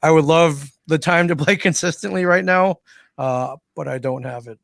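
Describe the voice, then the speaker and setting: A man co-hosts a relaxed live-streamed chat from a video call. His voice is gravelly and sits a bit high for a man. He talks haltingly, repeating words and laughing lightly, and the sound is dry. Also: dry recording. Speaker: co-host. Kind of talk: casual chat.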